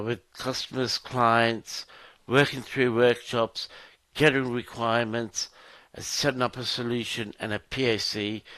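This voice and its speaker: A man giving a software demo walkthrough, explaining in short, halting phrases as a presenter. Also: mid-range voice, dry recording. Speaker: solution architect presenter.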